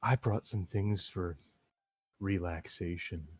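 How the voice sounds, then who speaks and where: A man reading the lines of a shy, intense teenage schoolgirl in a game read-along, soft and measured. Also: low voice, husky, no echo, exaggerated voice. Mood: calm. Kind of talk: read-aloud of a game script with friends.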